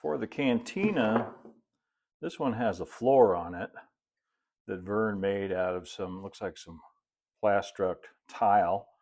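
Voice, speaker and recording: A middle-aged American man talks through a hands-on project in a fairly low voice with a gravelly edge. His delivery is casual and unhurried, with a folksy habit of thinking aloud as he works. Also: a little mellow. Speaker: host, model railroad builder. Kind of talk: casual hands-on tutorial talk while working. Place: indoor hobby workshop at a cluttered workbench and layout.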